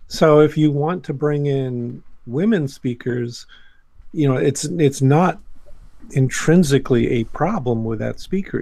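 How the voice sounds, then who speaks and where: An older man, a guest on a panel, explaining things in a measured, matter-of-fact, conversational way. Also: medium pitch, vocal fry, darkish tone, dry recording. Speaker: guest, academic.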